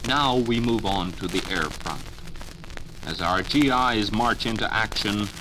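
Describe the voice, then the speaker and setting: An American man narrating a radio news report in 1950s scripted style. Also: on the low side. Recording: noisy 1950 radio transcription disc.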